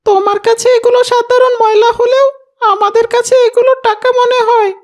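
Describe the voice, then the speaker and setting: Woman doing a tiny squeaky street kid boy, cartoon, super high n flat, friendly, matter of fact. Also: fairly quick. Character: friendly young street boy who scavenges plastic bottles. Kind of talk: cartoon voice acting.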